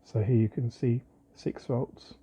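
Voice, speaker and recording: A man with a husky, slightly rough voice at an ordinary male pitch walks through a technical demonstration. He speaks informally but methodically, in measured phrases with short pauses. The recording is a little dull and lo-fi. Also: fairly even intonation, warm tone. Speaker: presenter of an electronics tutorial. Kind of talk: informal hands-on technical demonstration, explaining step by step.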